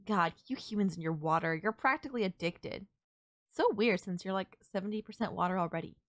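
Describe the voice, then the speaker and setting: A woman's voice: medium pitch, brisk, dry recording, clean studio sound, lightly acted. A woman playing a tender, reassuring alien girlfriend in an intimate, bittersweet romantic audio roleplay. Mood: affectionate, teasing. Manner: soft-hearted, reassuring, gently teasing, addressing a lover. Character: tender alien girlfriend saying a sad goodbye.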